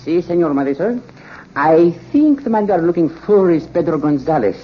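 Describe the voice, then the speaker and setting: An actor playing an eager, rambling Latin American hotel clerk with a Spanish accent in 1940s radio drama. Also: male voice, fairly high pitch, animated, normal speed, slight background noise, close to an everyday voice. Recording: muffled old 1940s radio recording.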